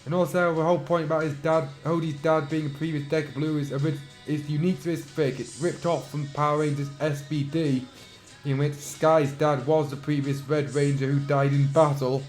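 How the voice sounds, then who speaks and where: A man with a sore throat who reads fan fiction aloud, breaking off for dry, mocking asides and frequent throat clearing. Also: on the high side, steady tone, moderate pace, darkish tone, soft background music. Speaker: channel host reading fan fiction. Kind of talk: dramatic reading with sarcastic commentary.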